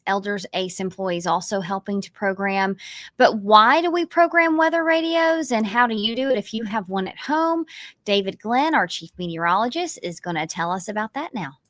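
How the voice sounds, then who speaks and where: A woman's voice: medium pitch, a bit bright, dry recording, clean studio sound. A woman anchoring a live news broadcast, hosting conversationally and handing off between reporters.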